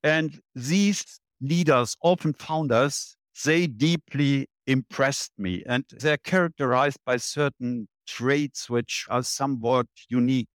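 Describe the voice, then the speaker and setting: A man in his seventies, a guest in an interview, laying out his points one by one in a measured way. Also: mid-range voice, dry recording. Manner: measured, listing points in order. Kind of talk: interview answers.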